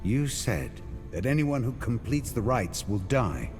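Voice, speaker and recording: An actor playing a stern, resolute warrior knight in a fantasy game, speaking in a terse, solemn manner. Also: male voice, mid-range voice, sing-song, a little slow, music in the background. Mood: grave, determined.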